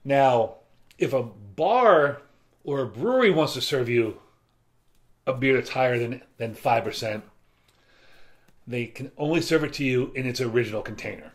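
A man in his thirties co-hosting a beer review, explaining things in a measured, casual way with dry humor. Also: medium pitch, expressive. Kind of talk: casual chat. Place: small room lined with beer shelves.

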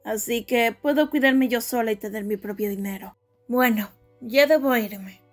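A woman's voice: on the high side, moderate pace, faint music behind, natural voice. A woman voicing a tough, aloof young woman brawler in a fan comic dub, with a brusque, exclamatory manner.

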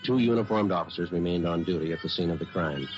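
An actor playing a stoic, methodical police detective in an old radio drama, speaking in a terse, matter-of-fact way. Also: male voice, deep voice, fast, close to an everyday voice. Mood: deadpan, factual. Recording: muffled old radio broadcast with loud music.

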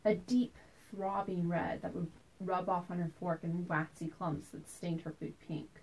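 A woman performing a theatrical monologue as a wistful, anxious woman recalling tense family dinners from her childhood, told deliberately with dramatic pauses. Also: fairly low pitch, level tone, a little mellow, rough recording. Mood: wistful, uneasy.

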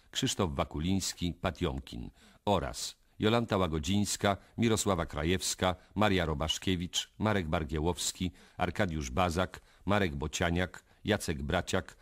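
A man reading formal radio credits as an announcer. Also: low voice.

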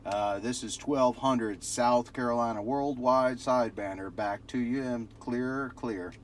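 A man's voice: medium pitch, measured pace. A man talking casually as a radio operator, rambling through jargon, recorded on a cell phone. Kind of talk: casual radio contact chat. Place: vehicle parked at night. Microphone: cell phone held near the radio. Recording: cell phone video with clear background noise.

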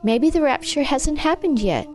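Audiobook role: woman plays bewildered mother, exasperated, raised pitch, music underneath. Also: lively intonation, moderate pace. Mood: anxious, placating. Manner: anxious, exasperated. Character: bewildered, exasperated mother trying to keep calm. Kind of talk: audiobook character voice.

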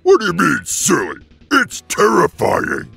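A man voicing a huge, hot-tempered turtle-dragon tyrant in a cartoon, gravelly and raspy, with bombastic, theatrical delivery. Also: sits high, wildly swooping pitch, measured pace, pushed and bright, faint music behind, heavily acted. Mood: blustering, irritated. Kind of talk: cartoon fan-dub acting.

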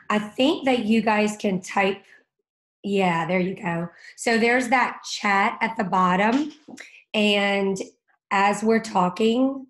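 A woman in her thirties, a vet hosting a casual video call Q and A from a home office, slightly hoarse as she loses her voice and talking in a chatty, unhurried way. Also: medium pitch. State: losing her voice, a bit hoarse. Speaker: cat care vet host. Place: home office with bookshelves, indoors. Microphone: laptop webcam on video call.

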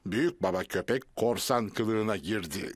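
A man's voice: on the low side, measured pace, a little hiss or hum, lightly acted. A man playing the cheerful narrator of a children's cartoon, reading scripted narration. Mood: cheerful, explaining. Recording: music behind the voice.

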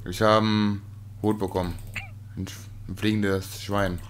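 A man playing along with friends, talking in a relaxed, laid-back way about the game. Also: on the low side, unhurried, a little hiss or hum. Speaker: co-player. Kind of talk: casual gaming chat.

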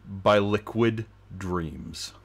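A man playing an online card game, talking casually as he pitches his cards to friends. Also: drawn-out words.

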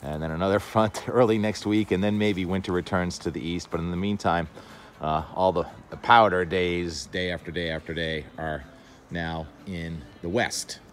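A man's voice: deep voice, fairly even intonation, normal speed, a little hiss or hum. A man giving a casual weather update while walking his dog outdoors at a mountain resort, filming on a phone. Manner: easygoing, chatty, talks while walking the dog. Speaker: weather forecaster and vlogger. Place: outdoors at a mountain resort at dawn, then a lodge hallway.

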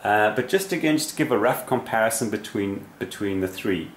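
A man's voice: lowish voice, expressive, brisk, slight background noise. A man giving a casual, unscripted explanatory demonstration as a radio hobbyist, with somewhat hesitant phrasing. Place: small indoor radio room.

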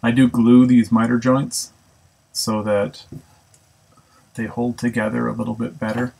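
Male shop teacher, raspy low voice with heavy fry, easygoing, self-correcting narration. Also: measured pace. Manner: relaxed, thinks aloud with many ums and self-corrections. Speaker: woodworking instructor. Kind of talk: casual how-to narration. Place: home woodshop.

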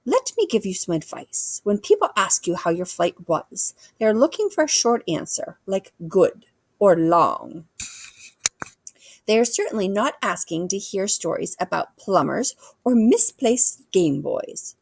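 A woman's voice: medium pitch, very animated, a little slow, gravelly, husky, no room sound, full character voice. A woman voicing a haughty, pedantic older English queen in a storybook, with a pompous, formal way of talking. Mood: disapproving. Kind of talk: children's storybook character voice.

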